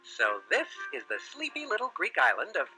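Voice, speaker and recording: A man playing a suave cartoon fox secret agent in a spy parody game, with dry, deadpan delivery. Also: high-pitched, fairly quick, soft background music, exaggerated voice, low-quality recording. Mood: smooth, amused.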